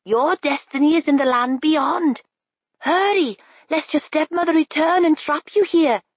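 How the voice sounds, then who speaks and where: A woman's voice: high voice, unhurried, no echo, pitched above the performer's own voice. A woman voicing a wise, friendly raven who speaks urgently in old-fashioned, formal words. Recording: slightly dull, dry recording.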